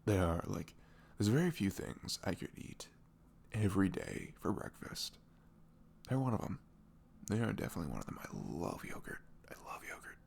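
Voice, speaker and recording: Male, very low gravelly voice, as a sweet boyfriend in casual ASMR roleplay; playful, rambling, breathy. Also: wildly swooping pitch, moderate pace, darkish tone, slight background noise. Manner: playful, rambling, breathy asides dropping into whispers. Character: sweet, caring boyfriend chatting the morning after. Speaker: audio roleplay performer.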